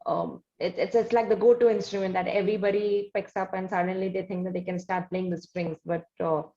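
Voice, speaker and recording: A woman hosting an interview, talking in long, deliberate, conversational lead-ins. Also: mid-range voice, level tone, mellow, echoey room, muffled recording. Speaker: interview host.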